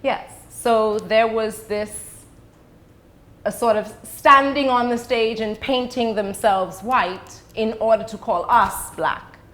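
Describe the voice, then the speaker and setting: A middle-aged woman from the Bahamas and the UK, an educator giving explanations as an interview guest, choosing her words deliberately with pauses. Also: fairly high pitch, a bit bright.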